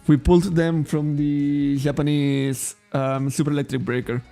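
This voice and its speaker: A man with a Catalan accent talks loosely on a card shop livestream, often correcting himself and laughing. His voice sits a bit high, with a gravelly edge and a mellow, dark tone. Soft music plays faintly behind him. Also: flat delivery, normal speed. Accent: Catalan-accented English. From Spain. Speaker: trading card shop streamer. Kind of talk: casual livestream chat.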